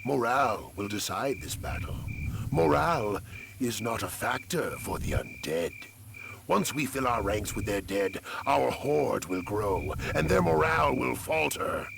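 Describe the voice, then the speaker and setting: An actor playing a cold, scheming necromancer war commander, narrating a game cutscene in a theatrical, commanding, gravelly voice. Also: male voice, on the low side, very animated, unhurried, background noise, exaggerated voice. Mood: menacing resolve. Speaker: campaign briefing narrator. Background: music.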